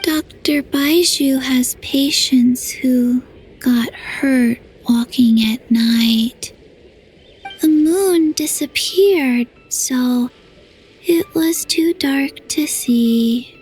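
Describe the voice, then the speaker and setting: An actress voicing a small, forgetful girl in a game, speaking in a slow, flat, halting way. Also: high voice, breathy edge, faint music behind, full character voice. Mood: dreamy. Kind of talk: game voice acting.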